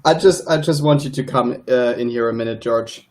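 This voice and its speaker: Radio drama lawman, played by a man: medium pitch, easygoing small-town sheriff. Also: moderate pace, lightly acted. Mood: casual. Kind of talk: radio play acting.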